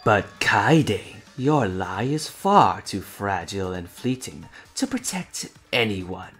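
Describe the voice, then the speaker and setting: Man acting poetic young mystic, low voice, expressive swoops, measured delivery, faint music. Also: husky, heavily acted. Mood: grave. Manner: measured, theatrical. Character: solemn, poetic, enigmatic young man judging softly. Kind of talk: anime fan dub acting.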